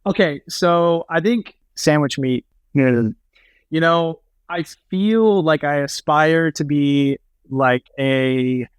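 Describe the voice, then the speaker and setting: A man's voice: fairly high pitch, takes it slowly, clear, resonant tone, no room sound. A man from the Pacific Northwest, a podcast guest joking in casual chat. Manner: joking, laid-back banter.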